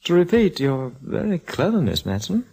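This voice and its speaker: Husky, swooping, sly radio villain. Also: male voice, on the high side, big pitch swings, moderate pace, dark tone, heavily acted, low-quality recording. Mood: smug, flirtatious. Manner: mocking, affectedly courteous. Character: sly, smug, flirtatious male villain. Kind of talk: 1940s radio detective drama acting.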